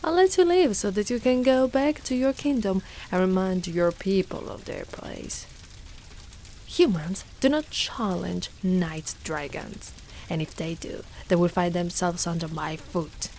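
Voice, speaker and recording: Slow-speaking, fierce dragon knight played by a woman. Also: mid-range voice, sing-song, warm tone, slight background noise. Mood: scornful threat. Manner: theatrical, formal archaic phrasing, menacing and protective. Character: fierce, devoted female dragon knight guarding a princess. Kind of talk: dramatic ASMR roleplay monologue.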